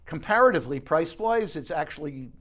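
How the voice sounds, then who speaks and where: Higher-voiced man giving a product walkthrough. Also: lively intonation, fairly quick, fairly bright tone. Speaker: audio dealer giving a product demonstration. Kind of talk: informal show-room product walkthrough. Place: exhibition suite at an audio show.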